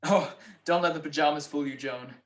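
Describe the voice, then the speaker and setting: A man playing a wry, weary gentleman detective who loves his sleep, in 1940s radio comedy style. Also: fairly high pitch, a little fast, close to an everyday voice.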